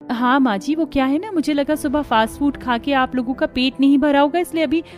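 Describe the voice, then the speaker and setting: A woman voicing a dutiful, earnest elder daughter-in-law in an animated family story. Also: high-pitched, brisk, natural voice. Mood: explaining. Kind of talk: Hindi cartoon story dialogue. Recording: music behind the voice.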